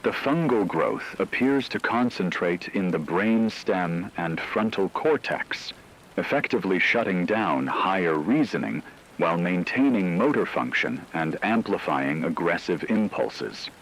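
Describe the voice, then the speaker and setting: Deep-voiced male news anchor played by a man. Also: animated, fairly bright tone, slight background noise, heavily acted, poor audio. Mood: grave, clinical. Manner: formal, measured, clinical. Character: grave male radio news anchor in zombie apocalypse. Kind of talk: scripted breaking news radio report.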